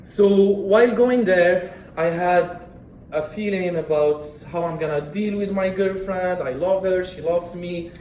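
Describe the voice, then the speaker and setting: A man in his thirties gives an earnest prepared speech, often tripping and restarting on words. His voice sits high. The sound is roomy and echoing, with a faint hum of the meeting room behind it. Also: measured pace, a little mellow. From Morocco. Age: 30s. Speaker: club speaker. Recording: echoey room.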